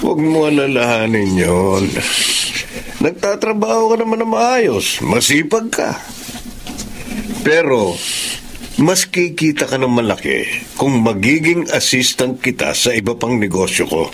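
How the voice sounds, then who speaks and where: A mid-pitched, animated male voice with faint noise. It is a wealthy Filipino businessman in a radio drama, genial on the surface and slyly persuasive. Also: a little slow. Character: genial, smooth-talking wealthy boss with shady business.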